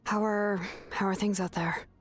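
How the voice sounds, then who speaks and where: A woman playing a serious, dutiful young female warrior in a video game, earnest and measured in her delivery. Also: medium pitch, steady tone, close to an everyday voice. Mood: grave, weary. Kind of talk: dramatic video game voice acting.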